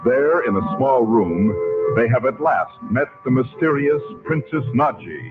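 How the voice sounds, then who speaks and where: A man announces in old-time radio style, with sweeping intonation and emphatic phrasing. His scripted delivery is theatrical. The recording is tinny and narrow, with loud music. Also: medium pitch, animated, unhurried.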